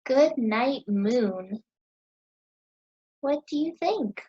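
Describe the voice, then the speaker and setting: An American woman in her thirties teaching young children, asking questions in a sing-song, encouraging way with deliberate pauses. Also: fairly high pitch, lively intonation, dark tone, husky, muffled recording. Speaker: teacher hosting a children's story time.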